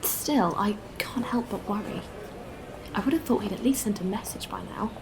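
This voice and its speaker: A woman playing a kind, anxious, protective space traveller in an audio drama, earnest and expressive. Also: medium pitch, moderate pace, in a whisper, lightly acted, low-quality recording. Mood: worried.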